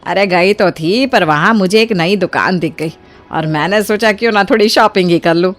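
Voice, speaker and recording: A woman playing a kind, generous older mother-in-law in an acted moral story, speaking in an expressive, colloquial, motherly way. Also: on the low side, moderate pace, close to an everyday voice. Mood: chatty, tired.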